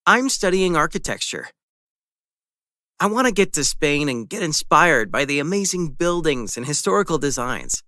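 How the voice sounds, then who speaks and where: A man's voice: a little high, very animated, moderate pace, sharp tone, no room sound, clean studio sound. A man playing a friendly young male architecture student in a scripted practice conversation, easygoing and asking friendly questions. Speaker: student in a dialogue.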